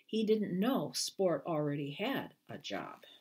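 A woman in her sixties or older with a husky voice reads a children's book aloud in a deliberate, expressive way, as an author at storytime. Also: low-pitched. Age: 60s or older. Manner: deliberate, expressive reading with brief explanatory asides. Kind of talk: storytime read-aloud to camera. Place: small living room at home, indoors.

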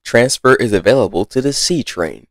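A man's voice: medium pitch, animated, moderate pace, dry recording, clean studio sound. A man reading short scripted train announcements.

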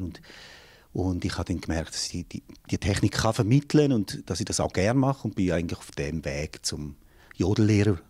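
A man's voice: low voice, darkish tone, breathy edge. A man in his forties with a St. Gallen Rhine Valley Swiss German accent, answering interview questions thoughtfully in a small studio room, restarting phrases as he searches for words. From St. Gallen Rhine Valley, Switzerland. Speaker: jazz singer and yodel teacher, interview guest. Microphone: handheld mic held by interviewer.

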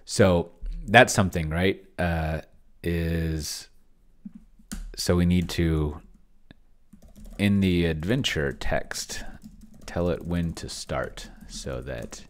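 A man's voice: sits low, drawn-out words, long pauses. A middle-aged man giving a casual, off-the-cuff review, rambling and hesitant as he thinks aloud into a close desk microphone. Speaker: solo role-playing video host. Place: small home office, indoors. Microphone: large desk microphone close to the mouth.

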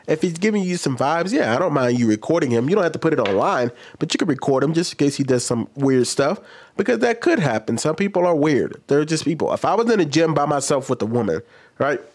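A man's voice: a little high, animated, fast talker. An American man in his thirties giving casual opinion commentary as a host, conversational and often posing questions to himself. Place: small home room studio. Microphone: microphone on boom arm.

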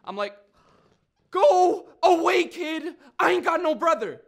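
A man's voice: high voice, big pitch swings, unhurried, sharp tone, exaggerated voice. An actor in his twenties playing a streetwise, brash, wounded young man in a theatrical monologue, with slangy, insistent, repetitive delivery. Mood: defiant. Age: 20s. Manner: slangy, swearing, insistent, with repeated phrases.

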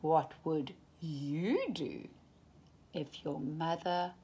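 A woman's voice: low voice, very slow, mellow, breathy edge. A woman reading a children's picture book aloud with dramatic, emphatic phrasing, as a storytime reader.

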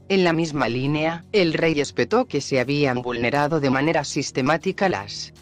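A man reading a scripted news report in a formal, measured way. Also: fairly high pitch. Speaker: news narrator.

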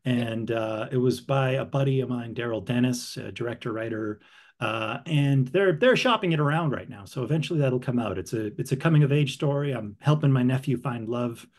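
A man's voice: mid-range voice, fairly quick. Chatty man on a video call. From northern Saskatchewan, Canada. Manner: animated, laughs easily, repeats words. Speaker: actor, interview guest. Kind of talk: casual interview chat. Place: home room with bookshelves. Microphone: headset with desk mic, video call.

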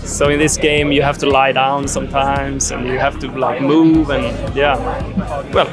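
A man, a VR game developer, answering interview questions in a hesitant, halting way with many fillers. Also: medium pitch, flat delivery, loud music behind the voice. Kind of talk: casual interview.

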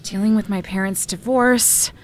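A woman's voice: mid-range voice, fairly even intonation, moderate pace, natural voice. Heartbroken, sardonic woman played by an actress. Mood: reflective, hurt. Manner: sardonic, casual. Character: heartbroken, self-pitying, sardonic woman friend named Janet. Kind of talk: naturalistic audio drama acting.

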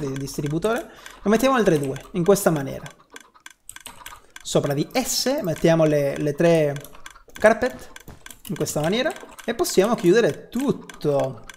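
A man's voice: on the high side, a little mellow. Chatty young man, noisy home recording. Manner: chatty, repeats himself, mutters mild swear words. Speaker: gaming YouTuber. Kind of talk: casual spoken Minecraft building tutorial. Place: small room at home. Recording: clear background noise, keyboard and mouse clicks.

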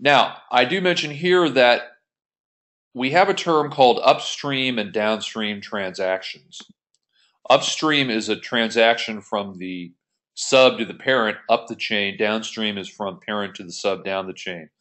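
A man's voice: fairly low pitch, unhurried, a bit bright. A man teaching as an instructor in an explanatory tutorial, methodical and informal in his delivery. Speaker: accounting instructor. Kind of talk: explanatory screen-share tutorial.

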